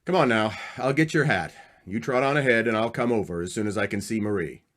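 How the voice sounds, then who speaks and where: A man's voice: on the low side, fairly quick, a bit bright, close to an everyday voice. A man playing a loyal, reassuring friend and husband in old-time radio drama, speaking in short clipped phrases.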